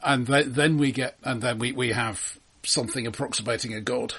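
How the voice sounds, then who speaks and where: Male film-show co-host, somewhat rough-edged husky voice, average pitch, conversational, measured and opinionated. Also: steady tone, fairly bright tone. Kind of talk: casual film discussion.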